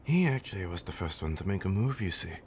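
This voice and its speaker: A man acting as an ancient, loving vampire husband with a low voice. He spins his tale theatrically in antique phrasing, teasing and tender, swinging between dramatic swells and hushed murmurs. It is an ASMR roleplay with faint ambience behind him. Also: sing-song, fast, a little hiss or hum. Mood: fond, amused. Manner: theatrical, old-fashioned wording, teasing, dramatic swells and whispers. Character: affectionate, theatrical centuries-old male vampire husband.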